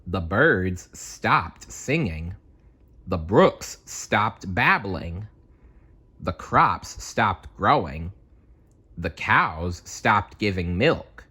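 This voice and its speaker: A man reading a children's storybook aloud as an expressive storyteller, theatrical and deliberate in his delivery. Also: low-pitched, breathy edge.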